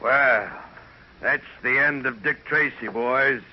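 An actor playing a smug, menacing gangster boss in a 1940s radio drama. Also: male voice, medium pitch, slow pace, close to an everyday voice. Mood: smug menace. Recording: very noisy, muffled old radio recording.

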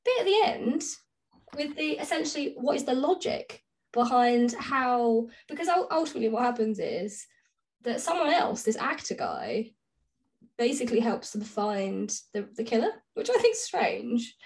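A woman's voice: a little high, sing-song, moderate pace, low-quality recording. A woman co-hosting a podcast, talking through books casually and informally in chatty, rambling explanations. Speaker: podcast co-host. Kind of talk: casual book discussion chat.

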